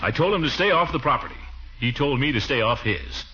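An actor playing a pompous, blustery, soft-hearted middle-aged uncle in a 1940s radio comedy. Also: male voice, medium pitch, animated, brisk, a little hiss or hum. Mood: scheming, coaxing. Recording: muffled 1940s radio recording.

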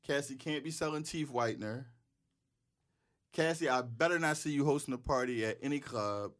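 A man's voice: mid-range voice, moderate pace. A young man co-hosting a casual chat, telling slangy, joking stories. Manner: animated, joking, slangy storytelling. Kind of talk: casual banter.

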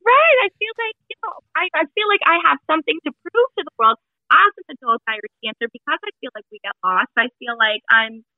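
A woman's voice: sits high, lively intonation, quick pace, dry recording. A woman in her twenties, a podcast guest, talking in a reflective, hesitant way. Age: 20s. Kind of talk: casual interview chat. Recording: tinny phone-like line, dry.

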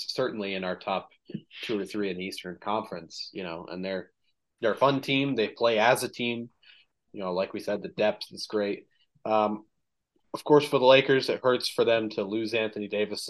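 A man's voice: lowish voice, low-quality recording. A man hosting a casual sports podcast, recapping games with plenty of ums and filler, his voice a little worn. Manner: relaxed, filler-heavy recap of game stats. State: slightly losing his voice. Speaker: podcast host.

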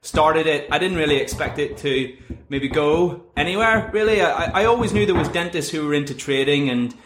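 A man's voice: a little high, moderate pace, noisy background. A man hosting a podcast, chatty and upbeat in casual conversation. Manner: chatty, upbeat, British colloquial. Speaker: podcast host. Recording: echoey room.

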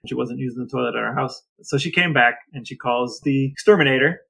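A man's voice: mid-range voice, quick pace, creaky, a bit bright, breathy edge, poor audio. A middle-aged man co-hosting a podcast, telling stories casually and comically in a grumbling, exasperated way. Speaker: podcast co-host. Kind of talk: casual comedic storytelling.

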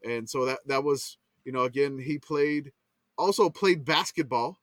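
A man's voice: mid-range voice, a little slow, dry recording. A man from Louisiana with a Louisiana accent, rambling casually through sports history on a podcast and laughing at himself. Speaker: podcast co-host. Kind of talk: casual chat.

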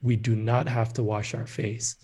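Mellow-voiced man from Ethiopia. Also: medium pitch, flat delivery, normal speed, slightly rough. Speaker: startup founder, podcast guest. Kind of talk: conversational interview answers.

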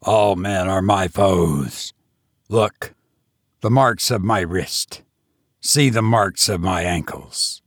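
A man's voice: low-pitched, slow pace, no room sound, clean studio sound. A man voicing an insane, murderous tavern host who escaped from prison, roaring and laughing madly. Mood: bitter. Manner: roaring, mad mirthless laughter.

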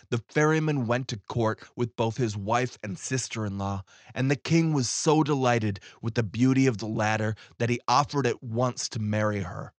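A man's voice: medium pitch, dry recording. A man reading a fairy tale aloud as scripted storybook narration. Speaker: audiobook reader.

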